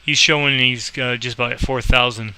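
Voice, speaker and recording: A man talks through a simulator game in a very bright, sharp voice that stays fairly flat in pitch. He is informal and rambling, thinking aloud between clipped controller calls, and his tone is clean and clear. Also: a little fast, rough recording. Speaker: host explaining a game and playing air traffic controller. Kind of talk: casual screen-recorded game tutorial with radio calls.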